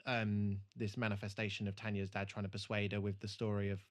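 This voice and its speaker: A man's voice: fairly low pitch, completely flat delivery, fairly quick. A man co-hosting a casual TV re-watch discussion, talking in an analytical, hedging way. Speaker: podcast co-host.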